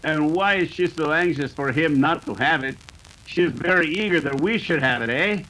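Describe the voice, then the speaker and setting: A sly Mexican bandit leader in a radio western. He is menacing, with a bright, forceful voice set slightly high, and there is faint noise on the recording. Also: moderate pace, poor audio. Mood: sly, suspicious. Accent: put-on Mexican. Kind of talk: old-time radio western acting.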